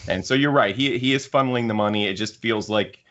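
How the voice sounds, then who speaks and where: A low-pitched male co-host works through his points in a casual group discussion. He is analytical and discursive, explaining at length. The audio is dull and a little muffled. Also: quick pace, fairly bright tone. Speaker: podcast co-host.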